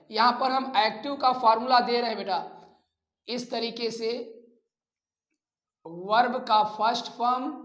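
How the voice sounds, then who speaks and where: An Indian man teaches in an echoey room, speaking slowly as if dictating notes. He repeats phrases and puts short rhetorical questions to his students. His accent is Indian and his delivery is that of a classroom lesson. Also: extremely high pitch, fairly bright tone. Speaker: English grammar teacher.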